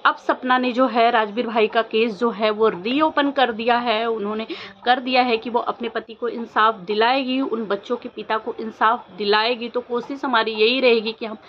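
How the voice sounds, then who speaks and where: A woman's voice: a little high, steady tone, moderate pace. A woman vlogger giving informal opinion commentary, questioning insistently and repeating her points for emphasis. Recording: dull lo-fi audio with faint noise.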